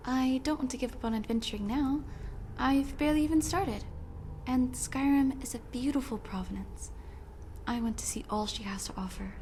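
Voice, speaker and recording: A young woman playing an earnest, sweet runaway princess in a video game, with a soft, sometimes breathy delivery. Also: high-pitched, slight background noise, lightly acted. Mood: hopeful, earnest. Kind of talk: video game character dialogue.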